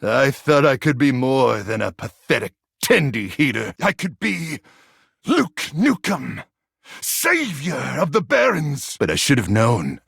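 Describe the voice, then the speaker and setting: A man voicing a macho, melodramatic microwave who acts like a gung-ho action hero in a video game, with a gravelly, husky voice and bombastic, theatrical delivery. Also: medium pitch, big pitch swings, measured pace, no echo, studio quality, heavily acted. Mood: brooding, dejected. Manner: bombastic, theatrical swings from brooding to shouting. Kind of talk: video game character acting.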